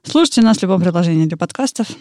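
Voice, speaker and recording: A woman's voice: on the low side, expressive, racing, noisy background. A woman chatting casually as co-host of a music podcast. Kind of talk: casual chat.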